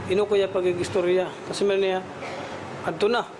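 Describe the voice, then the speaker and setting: A man's voice: high voice, little pitch movement, fairly quick. A man with a Waray accent from the Philippines giving a spontaneous account as a news interviewee. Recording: noisy on-location news interview recording.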